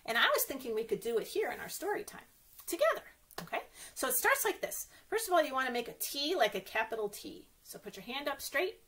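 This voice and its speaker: A woman's voice: high-pitched, big pitch swings, a little fast, pushed and bright, slightly rough. A woman reading a picture book aloud for young children as a story time host, playfully exclaiming and asking her listeners questions.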